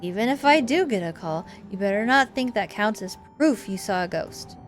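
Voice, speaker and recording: A woman's voice: mid-range voice, expressive, normal speed, faint music behind, lightly acted. A woman voicing a prickly, exasperated female cousin in a visual novel game, scolding and sarcastic. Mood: dismissive, irritated.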